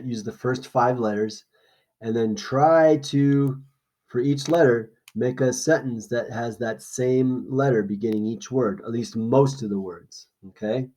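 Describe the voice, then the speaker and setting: A man's voice: medium pitch, a little mellow, low-quality recording. A man teaching an informal lesson, reading aloud and explaining things in a plain instructional way. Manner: explanatory, checks understanding with short questions.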